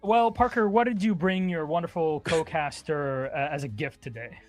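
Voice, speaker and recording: A man hosting an esports broadcast from the desk, his voice a touch high for a man. He throws playful, teasing questions at the casters. Light music plays faintly underneath. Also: normal speed.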